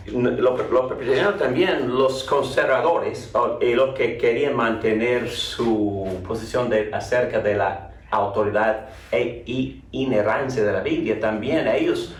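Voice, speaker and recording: A man from North Carolina teaching a theology class and opening with a prayer, speaking haltingly with many restarts and repeated words. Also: mid-range voice, slight background noise. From North Carolina, USA. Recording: echoey room, voice from far off.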